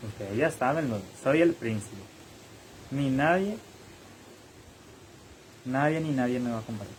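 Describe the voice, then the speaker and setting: A man's voice: mid-range voice, expressive, a little slow, slight background noise, poor audio. A young man talking straight to his viewers in a boastful, repetitive monologue about himself. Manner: bragging, repetitive, addresses viewers directly.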